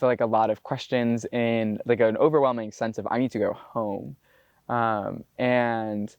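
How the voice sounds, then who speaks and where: A young American man in his twenties speaks at an ordinary middle pitch, his voice dry and close with no room around it. He talks in a loose, casual way, dotting his thoughts with "like" as he reflects. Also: darkish tone, clear, resonant tone, no room sound, lo-fi sound. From United States. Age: 20s. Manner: casual, loose, full of "like" fillers. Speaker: botanist and climber being profiled. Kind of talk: reflective documentary interview.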